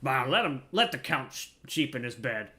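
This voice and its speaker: A man's voice: medium pitch, big pitch swings, moderate pace, sharp tone. A man voicing a blustering, impatient miller obsessed with the craft, in grandiose fantasy game dialogue. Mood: indignant. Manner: grandiose, declamatory.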